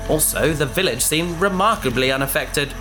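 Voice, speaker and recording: An actor playing a bookish, curious young adventurer who talks eagerly, like a lecturer. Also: male voice, fairly high pitch, animated, normal speed, natural voice. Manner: enthusiastic, lecturing. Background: music.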